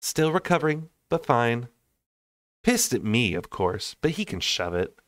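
A man's voice: medium pitch, sing-song, measured pace, a bit bright, dry recording, studio quality, voice pushed up. A man voicing a sassy, brash young woman in a storybook reading, wry and emphatic.

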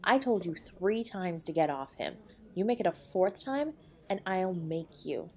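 A woman playing a protective, loving wife in an intimate audio roleplay, with a breathy voice. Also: lowish voice, expressive, a little slow. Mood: firm, indignant. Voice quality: breathy, often dropping to a whisper. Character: protective loving wife comforting and defending her husband.

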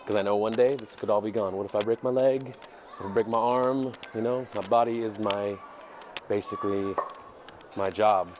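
A man in his thirties talks in a fairly low voice while he walks down a city street. He speaks candidly and reflectively, with plenty of hesitations. His earphone mic also picks up clear street noise and passing traffic. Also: fairly even intonation, moderate pace. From United States. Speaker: dance teacher and vlogger. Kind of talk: casual walking vlog monologue. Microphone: earphone headset mic, phone at arm's length. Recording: noisy outdoor street recording. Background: street ambience and passing vehicles.

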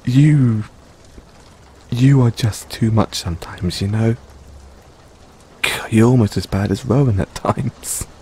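Rain patters faintly behind a mellow, fairly low voice. It is a man playing a doting middle-aged father and boyfriend in an audio roleplay. He teases fondly and takes his time, as if talking closely to one listener. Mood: fond, chatty. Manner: playful, fond, chuckling between unhurried phrases. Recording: steady rain noise in background. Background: rain falling.

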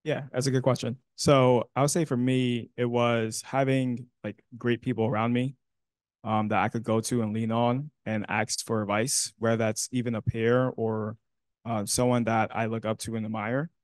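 A young man speaking earnestly and in a measured way as a panelist in a university classroom. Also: medium pitch, little pitch movement, no room sound. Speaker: panelist, alumnus. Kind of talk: panel discussion.

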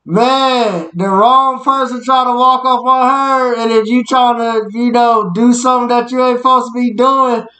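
A man doing reaction commentary, throwing in excited, laughing hype remarks. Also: extremely high pitch, a little slow, few pauses.

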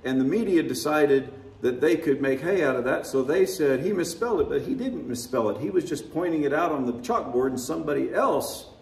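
A man's voice: a little high, lively intonation, fairly quick, gravelly, hardly any pauses, roomy sound, recorded from a distance, slight background noise, low-quality recording. A man preaching a sermon, conversational and wry, often chuckling at his own remarks. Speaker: pastor. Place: church sanctuary.

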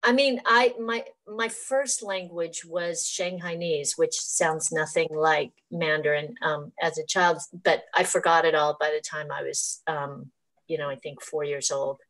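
Lower-voiced woman on a video call. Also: steady tone, measured pace, fairly bright tone. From United States. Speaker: actress. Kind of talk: casual Q&A answers. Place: living room at home. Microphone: laptop webcam on a video call.

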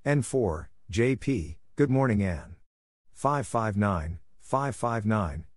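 A man giving a scripted instructional readout of radio exchanges in deliberate, clipped phrases, spelling out call signs. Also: on the low side, lively intonation, no room sound, clean studio sound. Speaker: Morse code practice narrator.

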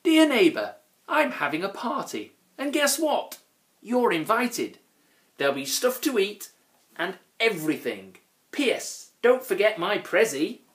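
A man's voice: high voice, very animated, measured pace, roomy sound, pitched above the performer's own voice. A man voicing a small, cheeky, scruffy troll in an informal storybook character voice. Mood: cheery, inviting.

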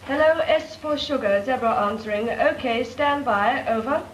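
A woman playing a crisp wartime radio operator. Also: high-pitched, normal speed, highs cut off, natural voice. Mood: steady. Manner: crisp, clipped radio procedure. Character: young female naval radio operator, dutiful. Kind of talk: 1940s film acting. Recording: noisy, echoey 1940s film soundtrack.

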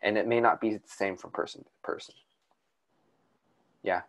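A young man co-hosting a casual podcast, talking at length in a calm, soothing voice, often restarting his sentences. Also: fairly low pitch, moderate pace. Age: young adult. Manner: rambling, with many restarts and fillers. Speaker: podcast co-host. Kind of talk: casual chat.

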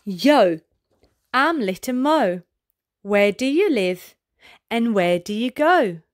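A woman reading a picture book aloud to young children in an expressive, sing-song, exaggerated storyteller's delivery. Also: on the high side, slow pace.

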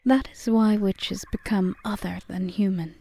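A woman playing a calm, calculating forest witch in an audio roleplay, speaking in a measured, formal, often whispery way. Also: mid-range voice, gravelly. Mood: solemn, wistful. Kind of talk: ASMR roleplay monologue.

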